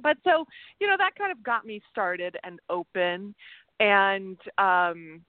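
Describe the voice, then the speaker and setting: A middle-aged woman, raised in Germany with German as her first language, telling her story as an interview guest in an animated way, often laughing and acting out other people's words. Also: medium pitch, slow, no echo. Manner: animated, laughs often, acts out quoted voices. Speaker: guest, energy healer. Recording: tinny phone-like call audio, dry.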